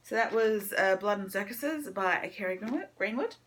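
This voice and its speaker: A woman's voice: mid-range voice, expressive, normal speed, fairly bright tone, a little hiss or hum. A woman chatting casually as a book reviewer, enthusiastic but hesitant, rambling as she goes. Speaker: book reviewer on own video channel. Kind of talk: casual chat to camera, monthly reading wrap-up.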